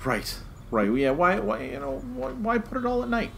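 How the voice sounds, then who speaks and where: A man's voice: sits high, sing-song, moderate pace, creaky. Man, podcast host, casual review talk, emphatic and repetitive for stress, background noise. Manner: emphatic, repeats phrases for stress.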